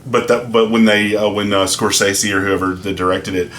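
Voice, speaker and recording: A man's voice: on the low side, steady tone, normal speed, fairly bright tone, a little hiss or hum. A man co-hosting a comedy podcast, cracking jokes and breaking into bits of songs in loose casual chat. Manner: joking interjections, sings song snippets. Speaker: podcast co-host.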